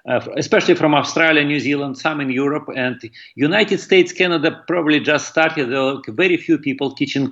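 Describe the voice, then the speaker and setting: A middle-aged man speaks at a middle pitch over a somewhat dull, lo-fi line. He answers as a guest in an interview, haltingly and searching for words. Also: a bit bright. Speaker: guest, breathing teacher.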